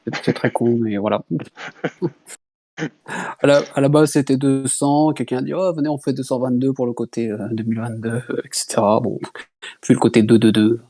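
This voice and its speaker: A man's voice: medium pitch, steady tone, normal speed, warm tone, no echo. A man, founder of a small online project, talking in casual voice chat with lots of fillers and restarts. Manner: hesitant, many fillers and restarts. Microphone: computer mic on a voice chat.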